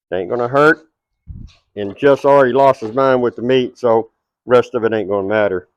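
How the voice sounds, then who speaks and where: A man's voice: mid-range voice, a little mellow, lo-fi sound. A middle-aged man with a Texas twang, hosting a cooking show at an outdoor backyard table and chatting straight to his viewers.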